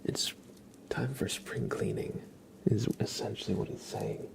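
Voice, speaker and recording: A man hosts a relaxed ASMR livestream in a hushed, breathy whisper. His delivery is soft and rambling, with slow stretches and loose, wandering pitch whenever some voice comes through. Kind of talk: ASMR, casual chat with livestream viewers.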